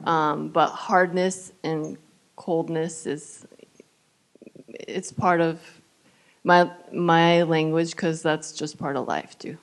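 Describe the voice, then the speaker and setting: A woman's voice: low-pitched, steady tone, slow. A woman from Japan giving casual answers on a panel, searching for her words in a hesitant way. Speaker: composer, panelist. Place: live event venue with audience.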